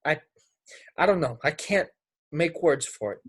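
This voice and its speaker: A man playing a wounded, anguished young man confessing in halting fragments in an amateur play readthrough. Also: on the high side, animated, slightly rough, no room sound. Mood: haunted, halting.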